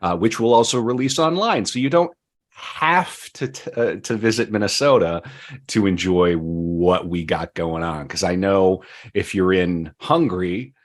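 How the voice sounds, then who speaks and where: A man hosting a podcast in casual talk, with a wry, joking manner and halting pauses. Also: low voice. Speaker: podcast host.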